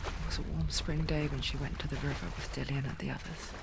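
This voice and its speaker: A woman voicing an eerie narrator inside someone's head, telling the story in a hushed, ominous, intimate way in a game. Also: sits low, little pitch movement, fast, creaky, heavy background noise.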